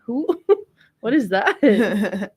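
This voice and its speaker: A woman's voice: on the high side, big pitch swings, extremely slow, darkish tone, slightly rough. A young woman co-host with a Rhode Island accent, chatting casually with short replies. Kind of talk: casual chat.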